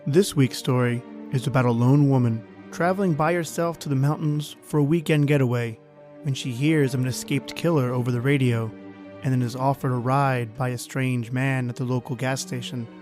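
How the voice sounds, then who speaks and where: A man hosting a podcast, reading a scripted introduction to a spooky story in a theatrical, deliberate storyteller's manner. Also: medium pitch, fairly even intonation, darkish tone. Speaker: podcast host. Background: music.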